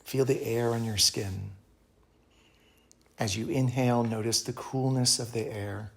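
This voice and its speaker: A man guides a meditation in a low voice with a creaky edge and a bright, crisp-edged tone. His delivery is calm and soothing. He speaks in short, measured phrases and leaves space between them. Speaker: yoga teacher guiding meditation.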